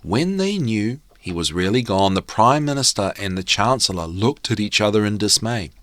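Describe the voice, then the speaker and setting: A man reading a fairy tale aloud as a storyteller, in a measured, theatrical way. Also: on the low side, expressive, fairly bright tone, dry recording, studio quality. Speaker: storyteller and host. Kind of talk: expressive fairy tale narration read aloud.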